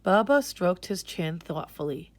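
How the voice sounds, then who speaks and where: A woman's voice: fairly low pitch, very animated, unhurried, no room sound. A woman reading a children's storybook aloud in a scripted narration style. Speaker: storybook reader.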